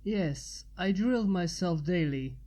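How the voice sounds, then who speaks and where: A woman's voice: lowish voice, expressive, slow pace, dark tone. A woman voicing an awkward, polite young man in a storybook reading, mumbling and hesitant. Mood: sheepish.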